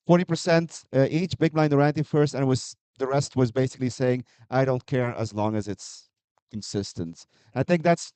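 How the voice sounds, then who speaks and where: A man's voice: mid-range voice, warm tone, smooth, dry recording. A man, a poker player speaking on a panel, talking hesitantly with many fillers and restarts. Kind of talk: panel discussion.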